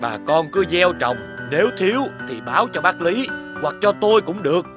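An actor playing a generous, earnest village benefactor in an animated folktale, sincere and encouraging. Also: male voice, high-pitched, moderate pace, over music.

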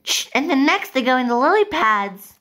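Woman voicing an eager, bright-voiced little girl director. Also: high voice, very animated, moderate pace. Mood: eager, directing.

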